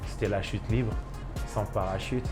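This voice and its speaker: A man's voice: on the low side, steady tone, unhurried, over music. A man in his thirties from Ivory Coast, a chef and restaurant owner, speaking in a documentary interview with many hesitations and restarts.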